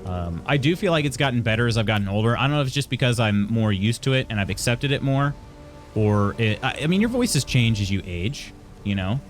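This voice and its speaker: A man's voice: mid-range voice, animated, soft background music. Chatty man reading stream chat. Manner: chatty, halting, many restarts. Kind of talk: casual live-stream chat.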